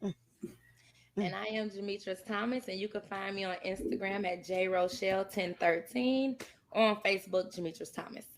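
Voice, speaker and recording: A woman with an American accent talking casually as a podcast co-host, haltingly working out her thoughts aloud. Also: mid-range voice, fairly even intonation. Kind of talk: casual chat.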